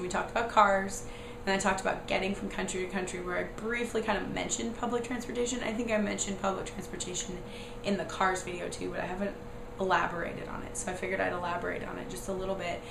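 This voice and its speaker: A young American woman, hoarse from a cold, with a raspy, squeaky voice, casually rambling through a vlog with lots of "like" and "um". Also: fairly even intonation, quick pace. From United States. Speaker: vlogger. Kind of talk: casual chatty explanation. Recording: echoey room with noise.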